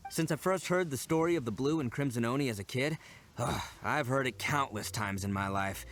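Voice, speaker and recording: A man's voice: medium pitch, brisk, fairly bright tone, a little hiss or hum, close to an everyday voice. A man playing a proud, brash, earnest young oni gang leader in a video game, speaking emphatically. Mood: earnest storytelling. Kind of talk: scripted video game voice acting.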